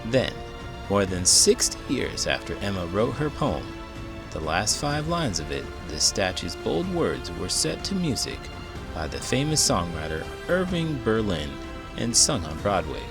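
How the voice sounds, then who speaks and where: Low-voiced, animated man reading a storybook. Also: unhurried, over music. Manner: dramatic, emphatic delivery. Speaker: children's book read-aloud host. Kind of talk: expressive storybook read-aloud.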